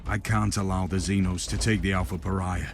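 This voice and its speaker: An actor playing a commanding, grim human inquisitor in a video game, speaking formally and tersely with authority. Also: male voice, sits low, flat delivery, a little fast. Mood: grim, resolute. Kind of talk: video game cutscene acting. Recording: music behind the voice.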